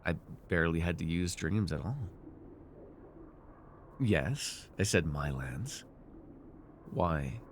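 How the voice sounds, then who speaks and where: A man's voice: sits low, lively intonation, takes it slowly, pauses often, slight background noise, heavily acted. A man playing an arrogant, seductive fantasy warlord in an intimate roleplay, teasing and theatrical as he speaks to one listener. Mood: smug, gloating. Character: arrogant, seductive male fantasy villain warlord, dream-wielding conqueror. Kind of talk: immersive ASMR fantasy roleplay acting.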